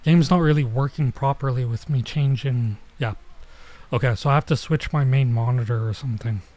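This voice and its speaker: A man's voice: mid-range voice, moderate pace, darkish tone. A man giving casual gameplay stream commentary, muttering to himself in mildly exasperated slang. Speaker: streamer, VTuber playing a visual novel.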